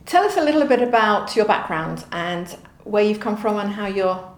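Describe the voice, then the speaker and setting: A woman's voice: mid-range voice, animated, moderate pace, roomy sound. A woman hosting an interview show, reading a scripted introduction and reacting with effusive, enthusiastic interjections. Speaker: host.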